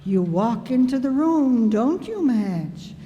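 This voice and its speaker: An actress playing an eager, bossy, scatterbrained housewife who bustles and takes charge in a radio comedy. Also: female voice, fairly high pitch, sing-song, takes it slowly, warm tone, dull audio. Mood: confident. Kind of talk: radio sitcom acting. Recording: hall reverb, faint noise.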